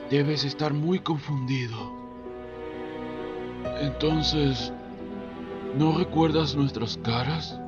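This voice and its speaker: An actor playing a patient, concerned, fatherly man who talks in a measured, formal way in a Spanish fan dub. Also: on the high side. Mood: gentle, reassuring. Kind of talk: Spanish fandub voice acting. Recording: dull lo-fi audio, music behind.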